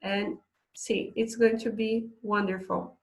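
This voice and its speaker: A woman's voice: medium pitch, flat delivery, takes it slowly, rough recording. Woman teaching English. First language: Portuguese. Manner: chatty, reading slides aloud with asides. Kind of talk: online English lesson.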